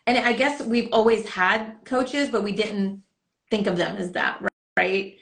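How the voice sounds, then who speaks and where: A woman's voice: mid-range voice, a little slow, creaky, a bit bright. A woman coach hosting a casual live stream chat on a desktop podcast microphone, talking in a rambling way with many fillers. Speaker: host and coach.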